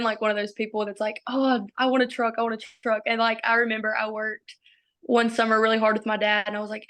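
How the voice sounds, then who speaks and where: A young woman from Arkansas, a college softball pitcher, chatting casually as a podcast guest with frequent giggles and lots of "like". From Arkansas, USA. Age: young adult. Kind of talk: casual interview chat.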